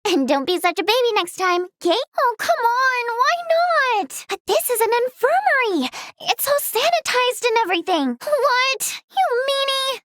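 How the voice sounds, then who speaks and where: A woman voicing a cheerful, excitable, inquisitive teenage girl in a video game, with a perky, teasing, youthful manner. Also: very high-pitched, fairly quick, heavily acted. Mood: excited, eager. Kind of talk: video game character dialogue.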